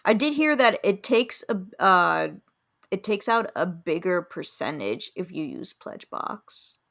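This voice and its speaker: A woman's voice: lowish voice, sing-song, unhurried, vocal fry, fairly bright tone. A woman hosting an interview in an informal, chatty style. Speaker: interviewer, host. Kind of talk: casual interview chat.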